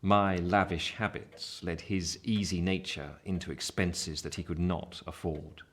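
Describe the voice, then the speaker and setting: Low-voiced Victorian gentleman, reflective, measured, literary, scripted audio drama narration. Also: steady tone. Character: reflective gentleman narrating his own Victorian life story.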